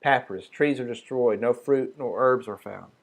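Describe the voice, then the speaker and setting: Male teacher, mid-range voice, deliberate reading, relaxed off-the-cuff explaining. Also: mid-range voice, gravelly. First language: not Hebrew. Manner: reads quotations slowly, then talks loosely with fillers and restarts. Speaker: Sabbath group teacher. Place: small group meeting room.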